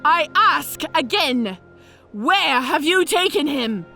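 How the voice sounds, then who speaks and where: High, cunning dragon sorceress in disguise. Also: female voice, animated, slow pace, pushed and bright, soft background music, heavily acted. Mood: grave, demanding. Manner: theatrical, composed, formally articulate. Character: female blue dragon disguised as a cunning, vengeful orc centurion mage. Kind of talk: dramatic audio drama acting.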